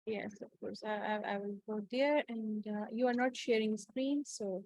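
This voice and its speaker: A woman's voice: medium pitch, normal speed, a little mellow, dry recording, rough recording. A woman whose first language is Urdu, chatting hesitantly on a video call and stammering as she starts her sentences. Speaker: meeting participant. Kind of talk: casual video-call chat.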